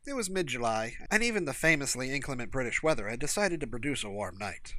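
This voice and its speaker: Man, fiction narration, bright tone, expressive intonation, dry recording. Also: mid-range voice, fast, no echo. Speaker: audiobook narrator.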